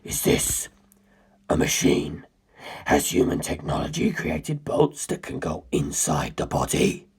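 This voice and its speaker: A performer voicing an ancient, arrogant male superhuman predator in a breathy whisper, speaking slowly and grimly in an audiobook-style story reading. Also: very deep voice, huge pitch swings, pitched below the performer's own voice, exaggerated voice. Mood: coolly curious. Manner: slow, deliberate, grim.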